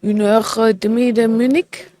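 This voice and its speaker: A German-accented woman, a native German speaker from Bavaria, who speaks haltingly as a podcast guest and searches for words. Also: a little high, steady tone, warm tone. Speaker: guest, business developer. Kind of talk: casual interview chat.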